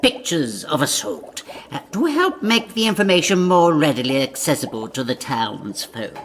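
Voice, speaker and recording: A man playing a bumbling, over-formal lord in a comic audio drama, halting and pompously polite. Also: on the high side, sing-song, a bit bright, slight background noise. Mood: hesitant, awkward.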